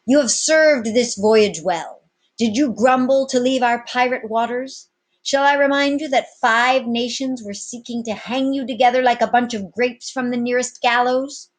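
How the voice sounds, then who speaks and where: A woman's voice: on the high side, normal speed, pitched above the performer's own voice, close to an everyday voice. Woman playing a grandiloquent, commanding pirate captain. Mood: rousing, mocking. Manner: commanding, florid, oratorical. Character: grandiloquent male pirate captain addressing his crew.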